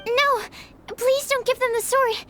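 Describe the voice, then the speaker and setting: A woman's voice: extremely high pitch, steady tone, measured pace, sharp tone, slight background noise, exaggerated voice. A woman voicing a polite, earnest, shy young female alchemist in a video game, precise and formal. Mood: urgent.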